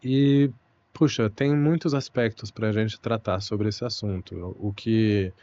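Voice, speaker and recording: A man's voice: on the low side, steady tone, warm tone, highs cut off. A young Brazilian man, a Portuguese teacher, talking thoughtfully and unhurriedly as a guest in an interview. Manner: thoughtful, unhurried, frequent "né" and "puxa" fillers. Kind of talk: relaxed conversational interview.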